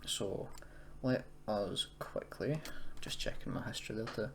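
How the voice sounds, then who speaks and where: A man's voice: on the low side, unhurried, in a whisper. A man presenting a casual screen-recorded tutorial, explaining in an unscripted, hesitant way. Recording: noisy home recording, keyboard typing.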